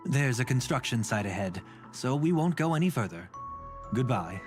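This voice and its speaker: An actor playing a composed, guarded gentleman in a video game, speaking with formal, controlled authority over music. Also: mid-range voice, very animated, moderate pace, natural voice. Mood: guarded, pointed. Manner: smooth-talking, controlled, formal. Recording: background music under voice.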